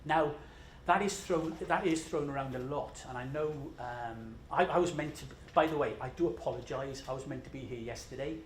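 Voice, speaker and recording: A man's voice: medium pitch, moderate pace, hardly any pauses. A Scottish man giving a conversational, self-deprecating presentation at a conference, jet-lagged and full of fillers. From Scotland. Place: large conference room. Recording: echoey conference room with faint noise.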